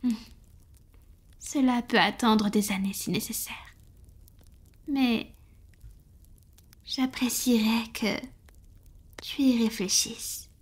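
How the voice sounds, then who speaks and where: Woman playing a breathy, slow-spoken, loving vampire lady. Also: a little high. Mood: devoted, gentle. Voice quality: breathy, often whispering. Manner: slow, gentle, affectionate, with pauses. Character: tender, devoted female vampire in love with a mortal. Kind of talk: French roleplay audio, soft intimate ASMR acting.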